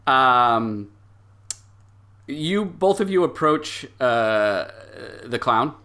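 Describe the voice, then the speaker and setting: A man narrating an improvised tabletop game as game master, halting and backtracking mid-sentence. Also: medium pitch, expressive, sharp tone.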